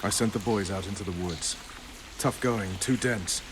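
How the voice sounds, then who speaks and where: Male actor as gruff soldier-commander, low pitch, cynical, blunt, sardonic, video game drama, faint rain behind. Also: normal speed, background noise, lightly acted. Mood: grim, wry. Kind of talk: video game cutscene acting.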